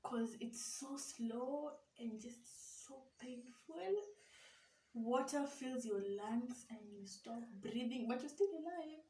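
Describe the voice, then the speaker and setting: A young woman chatting casually with her sister, lively and animated as she tells stories. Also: on the high side, slow. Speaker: vlogger's sister. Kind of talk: casual sibling tag chat. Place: small bare room indoors. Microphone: camera across the room. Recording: echoey room, distant mic, faint hiss.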